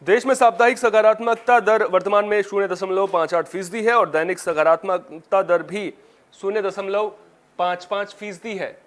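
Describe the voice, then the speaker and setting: High-pitched male news anchor. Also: fairly even intonation, normal speed, a bit bright. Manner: formal, presentational. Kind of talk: scripted Hindi TV news bulletin reading.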